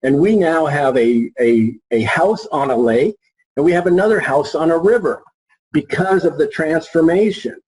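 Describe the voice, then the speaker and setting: A man's voice: a little high, expressive, normal speed, a little mellow, husky, low-quality recording. A man giving unscripted explanations with examples as a marketing expert in a panel conversation.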